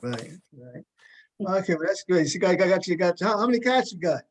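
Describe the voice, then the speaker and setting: A man's voice: sits high, sing-song, a little fast. A man hosting a talk show in a casual interview style, often repeating short phrases as he responds. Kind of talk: casual interview with cast members.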